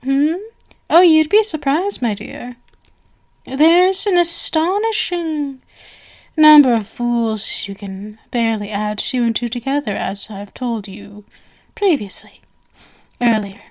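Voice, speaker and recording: Casting: actress as female stage magician for ASMR roleplay, high voice, unhurried delivery, flowery and coaxing, genial with a sinister undertow. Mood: playful, showy. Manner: flowery, theatrical, coaxing speech.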